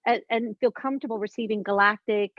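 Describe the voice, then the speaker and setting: An earnest woman of fifty talks through spiritual ideas in a relaxed conversation, searching for words and circling back as she goes. Her voice sits at an ordinary pitch for a woman. The recording is dull and lo-fi, with a dry, close sound. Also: quick pace, no echo. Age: 50s. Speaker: guest.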